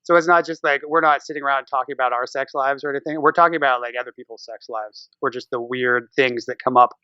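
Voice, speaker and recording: A man's voice: mid-range voice, steady tone, quick pace, pushed and bright, clean tone, no room sound, highs cut off. A man chatting casually as a podcast guest, rambling playfully as he tells stories. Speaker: podcaster and amateur voice actor. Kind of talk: casual interview chat.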